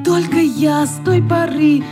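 Young boy, high voice, slow plaintive singing, pensive, loud guitar accompaniment, children's radio play. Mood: wistful. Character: young boy singing a wistful sea song. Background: acoustic guitar accompaniment.

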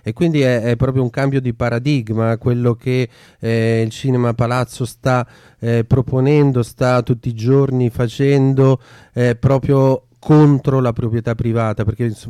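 A man's voice: medium pitch, fairly even intonation, normal speed, few pauses, warm tone, clear, resonant tone, dry recording, clean studio sound. A man hosting an informal radio discussion, hesitant and searching, often repeating words as he builds his point. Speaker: radio show host.

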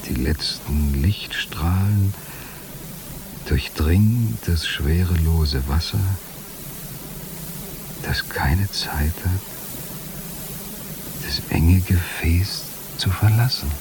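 An actor voicing an entranced man in a radio play monologue, halting, hushed and poetic, as if in a trance. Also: low-pitched, over music, heavily acted. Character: entranced man watching time stand nearly still. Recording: clear hissing noise behind voice.

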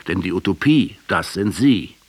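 An actor playing a bitter, sardonic intellectual in a German radio drama, speaking in an eloquent, rhetorical way with a husky voice. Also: male voice, deep voice, animated, normal speed, darkish tone. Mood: solemn, rhetorical. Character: Navarro, bitter Argentine intellectual man confronting a dead revolutionary.

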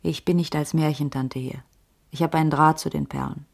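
Low, gravelly, world-weary streetwise woman. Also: fairly even intonation, brisk. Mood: insistent, knowing. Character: careworn, streetwise female ex-cop with a tip. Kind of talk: radio drama dialogue, hard-boiled crime.

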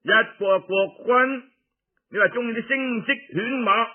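A man with a Guangdong Cantonese accent telling historical tales in a theatrical, colloquial radio storytelling manner with drawn-out emphasis. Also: extremely high pitch, phone-quality sound.

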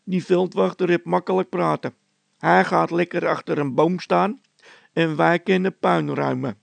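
A man's voice: on the high side, fairly even intonation, a little slow, creaky, no echo, voice pushed up. A man voicing a nervous, grumbling labourer who is scared of ghosts, with a whining, worried way of talking.

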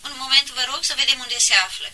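A woman playing a polite, brisk telephone operator in a radio drama, formal in manner. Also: sits high, level tone, bright, forceful tone, slight background noise, rough recording. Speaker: actor.

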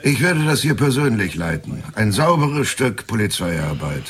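Pompous police chief, deep, declamatory, vain, self-important, radio play. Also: male voice, sing-song, moderate pace. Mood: stern. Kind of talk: German radio drama acting.

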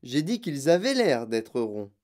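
A woman voicing an excitable, bossy, eccentric male candy maker in a storybook, speaking in emphatic exclamations. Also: rumbling low, a little slow, heavily acted. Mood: indignant, insistent.

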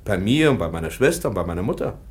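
An actor playing a kind professor who explains things gently and patiently. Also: male voice, sits low, sing-song, quick pace. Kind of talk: radio play acting.

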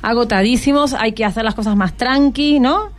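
High-pitched Argentine woman hosting radio. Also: normal speed. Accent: Buenos Aires Argentine. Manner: conversational, with frequent tag questions. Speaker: radio host. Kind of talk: live radio presenting and interviewing. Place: radio studio. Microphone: studio microphone.

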